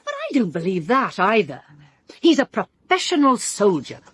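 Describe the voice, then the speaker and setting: An actress playing a brittle, sharp-tongued woman suspect in a radio drama, with a British accent and an emphatic, cutting delivery. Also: mid-range voice, huge pitch swings, normal speed, slightly rough. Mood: urgent, speculating. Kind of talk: full-cast radio drama dialogue.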